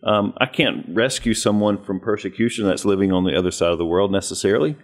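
Male co-host, low voice, hesitant, thoughtful. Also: quick pace. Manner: hesitant, many fillers, thoughtful.

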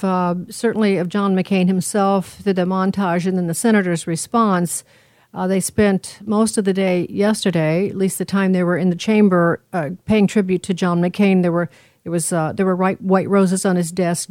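Female talk radio host, mid-range, unscripted and halting, deliberate, dry studio sound. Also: mid-range voice, a little mellow, no room sound.

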